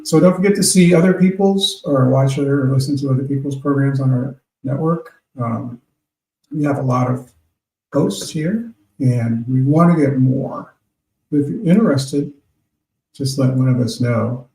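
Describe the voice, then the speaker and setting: A man in his late fifties chats loosely as host of an internet radio show, drifting from topic to topic. His voice is gravelly and mellow. He sits away from the mic, and the room is echoey. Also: medium pitch, moderate pace, distant mic. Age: 50s. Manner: meandering, reads out listener names. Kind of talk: rambling casual talk to listeners.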